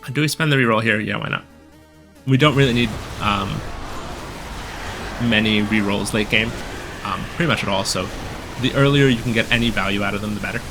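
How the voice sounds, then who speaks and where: A man with a low voice talks through a game build, slightly hoarse from a cold. His voice carries a gravelly fry and many relaxed ums. Loud game music plays underneath. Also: a little fast, long pauses. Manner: relaxed, explanatory, frequent ums. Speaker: gameplay guide commentator. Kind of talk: casual gameplay commentary.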